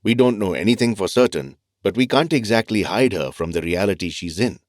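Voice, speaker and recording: Man acting as a reassuring doctor, low-pitched, thoughtful, calm, explanatory, audio drama. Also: fairly quick, dry recording, clean studio sound, close to an everyday voice. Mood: serious, reassuring. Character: thoughtful, reassuring male doctor and family mentor.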